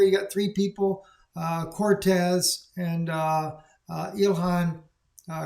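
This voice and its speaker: A man's voice: high-pitched, darkish tone. A man giving rambling, opinionated solo commentary on the news, emphatic and full of fillers. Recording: echoey room recording.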